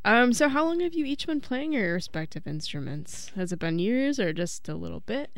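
A woman's voice: medium pitch, sing-song, moderate pace, dry recording, studio quality. Woman, radio co-host, upbeat, giggly, asks casual questions.

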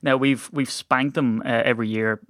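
A man's voice: mid-range voice, fairly even intonation, moderate pace, a bit bright, no echo, studio quality. A man hosting a sports podcast with casual, jokey, rambling banter. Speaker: podcast host. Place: small video studio.

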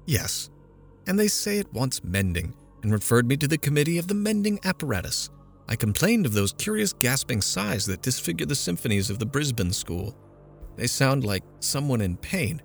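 Petulant, easily affronted mother, voice pitched high and complaining; a male reader puts her on. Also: sing-song, moderate pace, vocal fry, faint music behind. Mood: fretful. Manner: complaining, indignant, raised pitch. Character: fretful, petulant middle-aged mother.

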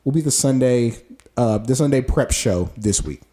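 A man's voice: mid-range voice, lively intonation, normal speed, mellow. A young man co-hosting a podcast, chatting casually with many fillers and restarts. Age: young adult. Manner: rambling, lots of fillers and restarts. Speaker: podcast co-host. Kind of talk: casual chat.

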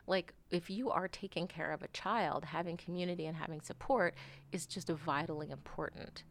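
A woman in her forties talking candidly as an interview guest, frank and animated, swearing casually and sometimes dropping into soft asides. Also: lowish voice, moderate pace. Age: 40s. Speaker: guest artist.

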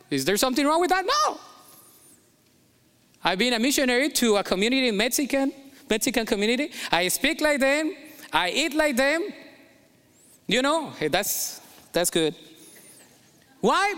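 A man's voice: extremely high pitch, very animated, normal speed, pauses often, fairly bright tone, in a large hall. A pastor from Colombia preaching a sermon in English with a Colombian Spanish accent, emphatic and repeating his key phrases.

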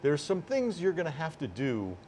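A middle-aged or older man, a sports announcer, talking as a guest in a casual interview with emphatic, deliberate phrasing. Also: fairly high pitch, wildly swooping pitch, a little mellow, a little hiss or hum. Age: 50s or older.

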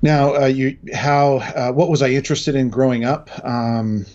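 A middle-aged American man from New England speaking in a halting, reflective way as a guest in a casual interview. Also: medium pitch, flat delivery, a little mellow, lo-fi sound. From New England, United States. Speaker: interview guest, industry analyst.

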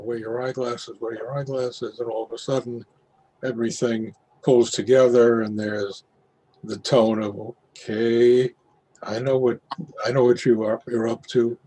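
A man talks through his thoughts on a short play. His voice is mid-pitched, dark and mellow with a husky grain, and his style is a casual critique. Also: little pitch movement, normal speed, low-quality recording. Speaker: play reading participant giving feedback.

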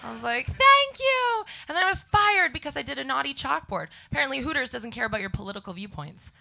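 A young Canadian woman with a scratchy voice doing a deadpan stand-up set before a live audience. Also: sits high, fast, gravelly. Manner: deadpan one-liners to a crowd. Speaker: stand-up comedian guest.

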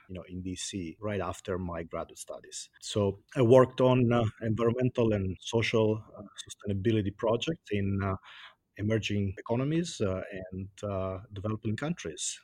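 A man with an Italian accent, a guest answering interview questions with many pauses and fillers. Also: lowish voice, a little mellow, breathy edge, no echo. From Italy. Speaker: guest, sustainability director.

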